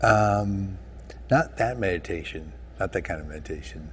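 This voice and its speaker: Super deep voiced dude, chill rambling guest, some faint hiss behind. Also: male voice. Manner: laid-back, halting and meandering. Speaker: talk show guest. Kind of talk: casual chat.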